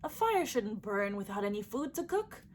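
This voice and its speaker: A woman's voice: medium pitch, big pitch swings, moderate pace, slight background noise, natural voice. A woman voicing a hungry fire that demands food in a storybook tale. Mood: demanding.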